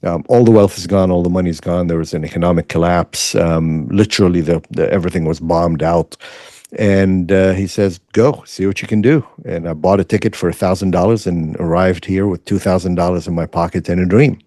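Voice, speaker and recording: A man's voice: deep voice, fairly even intonation, fairly quick, warm tone, no echo, clean studio sound. A man, telling his story earnestly as an interview guest, with many fillers. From Lebanon. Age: 50s. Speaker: guest, former state legislator.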